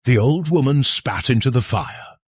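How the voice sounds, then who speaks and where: A man narrating a scary folktale as a storyteller, in a suspenseful, theatrical way with dramatic pauses. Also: mid-range voice, lively intonation.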